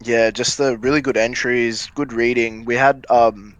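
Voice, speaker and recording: A man's voice: medium pitch, fairly even intonation, a little slow, dry recording, highs cut off. A man speaking casually as an esports team captain in an interview, informal and matter-of-fact. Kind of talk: casual post-match interview.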